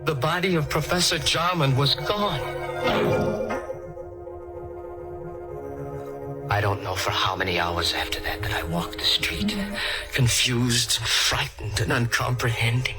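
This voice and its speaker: An actor playing a smug, uneasy immortal murderer in a 1940s radio thriller, with melodramatic, brooding delivery. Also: male voice, mid-range voice, very animated, unhurried. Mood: shaken, brooding. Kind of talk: 1940s radio acting, first-person thriller narration. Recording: old radio play with organ music.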